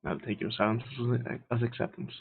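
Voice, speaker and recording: A man's voice: mid-range voice, fairly even intonation, fairly quick, creaky, husky. A man playing a tabletop roleplaying game online, bantering playfully and flirtatiously in character. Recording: tinny online call audio.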